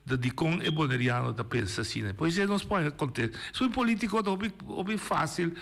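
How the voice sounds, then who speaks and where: A man hosting a radio call-in discussion, talking in an animated, emphatic way with many restarts. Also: a little high, fairly quick, creaky. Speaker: radio host. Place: radio studio.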